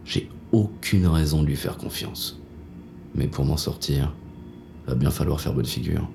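Very deep, gravelly jaded inspector played by a man. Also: unhurried, darkish tone, slight background noise, heavily acted. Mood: grim, sardonic. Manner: world-weary, slangy, gruff. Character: jaded, hard-boiled male police inspector, bitter and shaken. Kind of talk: first-person noir audio drama narration.

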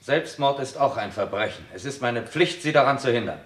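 Actor as a forceful police detective, echoey room. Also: male voice, medium pitch, lively intonation, brisk, close to an everyday voice. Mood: persuasive. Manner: authoritative, insistent. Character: firm, commanding Scotland Yard policeman, persuasive. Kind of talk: vintage German radio crime play acting.

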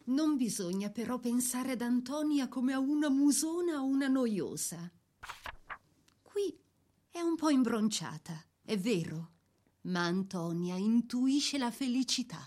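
A woman narrating a scripted literary radio drama in a soft, measured, theatrical way. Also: fairly high pitch, lively intonation.

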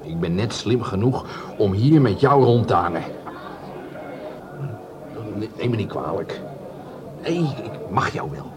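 Stammering, dreamy down-and-out plumber. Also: male voice, on the low side, sing-song, measured pace, pauses often. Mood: self-mocking. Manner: stammering, rhapsodic, repeats words. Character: dreamy, penniless plumber, self-mocking, fond of women. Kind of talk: radio play dialogue. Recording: café crowd behind the voice.

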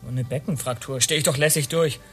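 A man's voice: medium pitch, fairly even intonation, fast, fairly bright tone, faint music behind, natural voice. An actor playing a flippant young punk who shrugs off his injuries in a German radio play. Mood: offhand.

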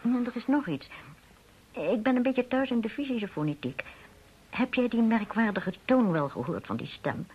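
Husky, shrewd woman phonetics expert. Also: on the high side, sing-song, normal speed. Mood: serious. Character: shrewd, teasing female phonetics expert, fond and composed. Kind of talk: old radio play dialogue. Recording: dull, muffled old radio recording.

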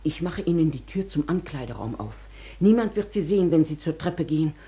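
A woman playing a dignified, wistful hotel guest in a German radio drama, with a soft, often breathy voice and a formal, measured manner. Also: low-pitched, lightly acted. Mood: composed, brisk. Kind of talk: German radio play acting.

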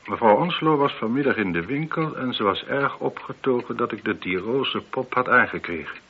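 Brief: indignant, defensive gentleman suspect, husky, widely swinging intonation, muffled radio drama. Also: mid-range voice, lively intonation, moderate pace. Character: haughty, indignant married male murder suspect. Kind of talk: radio play acting. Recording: dull old radio recording.

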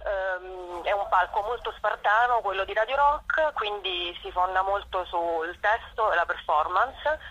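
Husky, bright-voiced woman on a dull call. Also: medium pitch, unhurried. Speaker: performer and show organiser, interview guest. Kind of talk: spontaneous radio interview. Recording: dull, phone-like radio call.

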